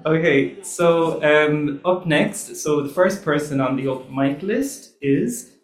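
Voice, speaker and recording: A man's voice: fairly high pitch, slow, a loud crowd. A man performing spoken word poetry with a wry, theatrical delivery, then hosting an open mic. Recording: roomy venue sound.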